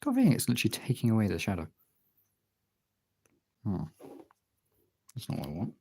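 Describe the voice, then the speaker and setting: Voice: mid-range voice, huge pitch swings, a little fast, creaky, mellow, slight background noise. A casual streamer chatting and muttering to themselves while working on art, with some swearing. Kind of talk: casual chat while colouring artwork.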